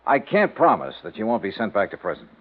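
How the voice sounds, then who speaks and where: An actor playing a shrewd, world-weary insurance investigator, clipped and hard-boiled, in 1950s radio detective style. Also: male voice, lowish voice, huge pitch swings, quick pace, narrow, tinny audio. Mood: firm, questioning. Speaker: detective hero and narrator. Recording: band-limited old 1950s radio recording.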